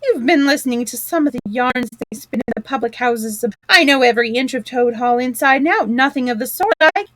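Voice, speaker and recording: A woman voicing a boastful, excitable male toad in a storybook, talking loud and bragging. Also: high voice, normal speed, dry recording, pitched above the performer's own voice, natural voice, rough recording. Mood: airy.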